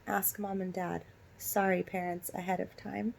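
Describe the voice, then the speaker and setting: A woman's voice: on the low side, flat delivery, moderate pace, vocal fry, a little mellow. A woman teacher doing a storybook read-aloud for young children, instructing and asking them direct questions. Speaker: elementary school teacher.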